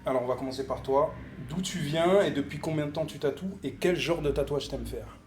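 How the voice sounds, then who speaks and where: A man's voice: fairly high pitch, fairly quick, darkish tone, slight background noise. A man hosting a podcast, asking guests questions in a friendly, somewhat hesitant way. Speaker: podcast host. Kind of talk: casual interview.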